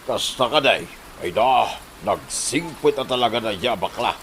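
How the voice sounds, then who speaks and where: A man's voice: mid-range voice, expressive, unhurried, fairly bright tone, a little hiss or hum. A man acting a teasing, admiring village father in an Ilocano comedy drama, with an Ilocano accent.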